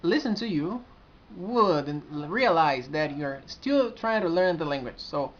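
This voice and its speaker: A man in his twenties with a Brazilian accent talks straight to the camera in an earnest, halting way, often restarting his sentences. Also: fairly high pitch, animated. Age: 20s. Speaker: community administrator. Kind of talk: casual vlog to camera. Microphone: built-in camera mic. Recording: dull lo-fi camera audio.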